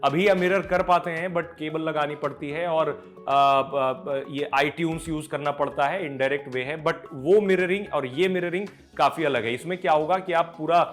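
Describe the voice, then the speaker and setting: An Indian man presents tech news in a fairly high-set voice. He explains informally and off the cuff, dropping English words into his speech. Music plays behind him. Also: moderate pace. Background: background music.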